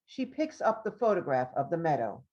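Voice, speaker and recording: A woman's voice: sits low, big pitch swings, normal speed, darkish tone. A woman reading stage directions as scripted narration for a play, on a dull, lo-fi recording.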